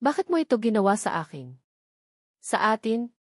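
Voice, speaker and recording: A woman's voice: fairly high pitch, sing-song, normal speed, no echo. Woman narrator doing hurt angry doctor guy in a love drama, betrayed ex, dry close sound. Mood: pleading. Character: anguished, angry man doctor, betrayed former lover.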